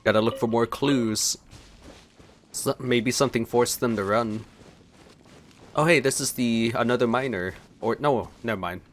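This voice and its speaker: Slow-musing man doing gameplay reactions. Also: medium pitch, slight background noise. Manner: musing, thinks aloud. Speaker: gaming video creator. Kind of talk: casual reaction commentary over gameplay.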